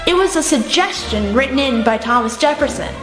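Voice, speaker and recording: Woman acting as confident female debater, defiant, high voice, radio play, loud music. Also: expressive, brisk. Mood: defiant, formal. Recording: echoey hall, loud music underneath.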